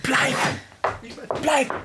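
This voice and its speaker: Ardent, pleading lovesick musician. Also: male voice, drawn-out words, heavy background noise. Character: lovesick, frustrated young male musician, pleading and possessive. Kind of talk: German radio drama acting.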